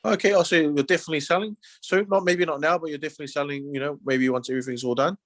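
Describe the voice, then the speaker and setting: A man with a New Zealand accent speaks as a real estate agent in a relaxed, conversational way. His phrasing is friendly and loose, full of small verbal padding. The sound is dry, with very little room around it. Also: on the high side, very fast, no room sound. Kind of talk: casual, conversational sales talk.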